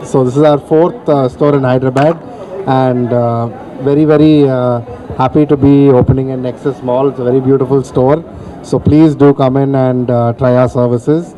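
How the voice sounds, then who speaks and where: Man, thirties, Indian accent, medium pitch, very slow, mellow, hesitant, polite director's speech, handheld mic. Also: low-quality recording. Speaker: company co-founder and director. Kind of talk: thank-you speech at a launch. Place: open atrium of a shopping mall, on stage. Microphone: handheld microphone.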